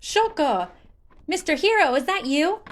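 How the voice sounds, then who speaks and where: A woman voicing a huge, childish male tree creature, exuberant and friendly, in a fan fiction audiobook. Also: unhurried. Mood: overjoyed. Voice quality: high-pitched, childish. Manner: loud, excitable, chanting.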